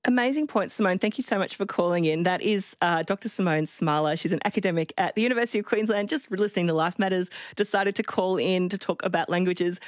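Woman, Australian accent, radio host, slightly low pitch, dry close mic. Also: quick pace, hardly any pauses, no room sound. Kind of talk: talkback radio hosting.

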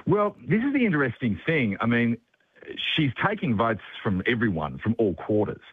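A man's voice: lowish voice, dry recording. A male political consultant giving analysis on talk radio, hesitant, with many fillers and restarts. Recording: tinny phone line.

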